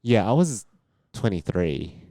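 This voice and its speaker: A man's voice: fairly low pitch, very animated, takes it slowly, dark tone, dry recording, studio quality. A man in his late twenties co-hosting a podcast in casual, friendly banter. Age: late 20s. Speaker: podcast co-host.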